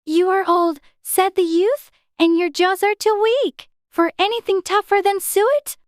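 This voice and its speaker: Woman as a very high-voiced, polite young girl. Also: steady tone, unhurried, dry recording, studio quality. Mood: dutiful recitation. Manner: polite, proper, earnest. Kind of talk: dramatized audiobook character acting.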